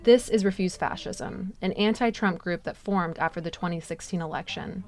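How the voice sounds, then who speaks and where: A woman's voice: fairly low pitch, normal speed, a crowd in the background. An American woman reporter delivering scripted news narration.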